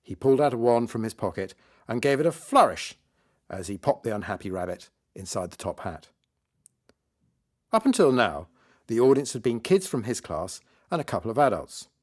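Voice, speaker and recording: Animated man reading a children's story. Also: mid-range voice. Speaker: author reading his children's book. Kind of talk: lively scripted story narration.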